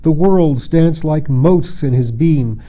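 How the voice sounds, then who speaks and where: A man's voice: fairly high pitch, slow pace, mellow, pitched above the performer's own voice. A man playing a frightened, proud young man in a storybook, sulky and dramatic, with an indignant, declamatory delivery. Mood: rhapsodic.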